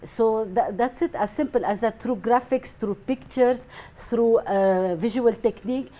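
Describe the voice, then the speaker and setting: Brief: female guest on a TV talk show, dark mellow timbre, earnest and repetitive. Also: on the high side, a little slow. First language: Arabic. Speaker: guest, mind mapping coach. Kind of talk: TV studio interview.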